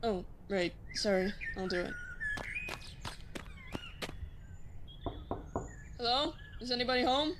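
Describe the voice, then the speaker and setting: A woman's voice: fairly high pitch, lively intonation, fairly quick, noisy background, lightly acted. A woman playing a serious, caring young human child in an audio drama, with a plain, earnest way of talking. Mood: tentative.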